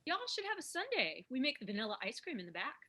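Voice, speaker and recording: A woman acts out a young diner waitress at a script read. Her voice is high and bright, swinging widely in pitch, with a folksy, perky, easily flustered way about her. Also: very animated, fast talker, full character voice. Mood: chirpy. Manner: folksy, chirpy. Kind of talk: screenplay table read.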